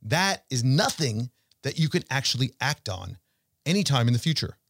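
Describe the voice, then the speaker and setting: A man's voice: mid-range voice, big pitch swings, a bit bright, dry recording. Emphatic man talking money, dry close sound. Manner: emphatic, rhetorical questions, deliberate pauses. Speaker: financial show host and portfolio manager. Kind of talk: conversational investment commentary addressed to listeners.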